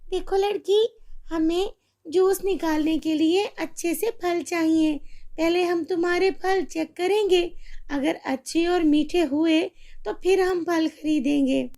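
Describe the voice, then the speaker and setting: A woman's voice: very high-pitched, fairly even intonation, slow pace, dark tone, exaggerated voice. A woman voicing a greedy, rude market auntie in a cartoon fairy tale, bossy and dismissive.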